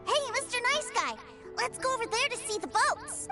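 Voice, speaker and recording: A woman's voice: very high-pitched, lively intonation, moderate pace. A woman voicing a restless, naive little boy in a video game, talking in an eager childlike way, with game music behind. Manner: eager, wide-eyed, childlike.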